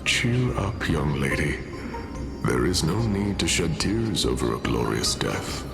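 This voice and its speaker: An actor playing a noble old warrior at peace with his death, speaking slowly and solemnly. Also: very animated, exaggerated voice. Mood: calm, noble. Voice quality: deep gravelly. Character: dying old warrior hero, calm and noble. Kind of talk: game voice acting. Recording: loud game music behind.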